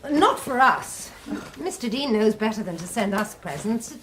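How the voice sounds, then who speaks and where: Radio play: stern measured nun, slightly high and lively voice. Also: female voice, a little hiss or hum. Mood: firm. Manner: measured, authoritative. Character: stern composed nun in charge. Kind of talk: radio drama acting.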